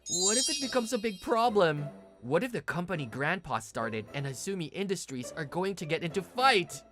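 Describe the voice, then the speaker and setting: A woman's voice: on the low side, sing-song, moderate pace, loud music behind the voice. A woman voicing a male university student, plain-spoken but blunt and tough when provoked, in a manga dub. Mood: worried. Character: young male university student, rough ex-delinquent, protective. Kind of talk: manga dub acting and first-person narration.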